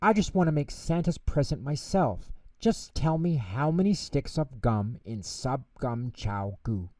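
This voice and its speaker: Male reader as eager young boy, raised pitch, lively, children's storybook, roomy distant recording. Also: a little slow, clean studio sound, close to an everyday voice. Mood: pleading, earnest. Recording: roomy echo, distant microphone.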